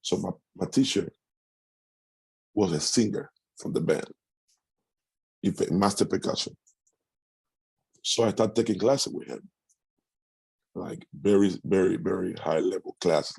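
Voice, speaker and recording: A middle-aged man from Cuba with a Cuban Spanish accent, a Spanish speaker answering interview questions haltingly, with frequent restarts. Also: low voice, vocal fry, darkish tone, slightly rough, dry recording, low-quality recording. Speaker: musician guest.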